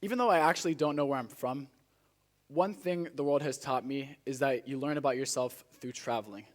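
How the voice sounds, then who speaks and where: Teenage male student speaker, medium pitch, earnest and rehearsed public-speaking delivery with deliberate phrasing. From Spain, born in South Africa. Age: teenager, high school student. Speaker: student speech contestant. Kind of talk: rehearsed motivational speech to a live audience.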